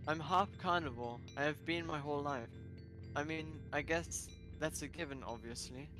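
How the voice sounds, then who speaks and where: A man's voice: fairly high pitch, moderate pace, music in the background, close to an everyday voice. A man reading a visual novel in character as an earnest, guilt-ridden young half-carnivore animal, with a hesitant manner. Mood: earnest, apologetic. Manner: hesitant, stammering.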